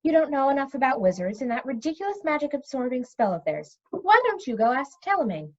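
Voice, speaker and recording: A woman voicing a brisk, outspoken but fond princess with a direct manner in a storybook reading. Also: high voice, very animated, darkish tone, muffled recording.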